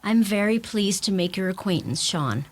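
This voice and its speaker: An actress playing a polite young American bride-to-be, with an American accent, in a radio play. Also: female voice, medium pitch, lively intonation, normal speed, close to an everyday voice.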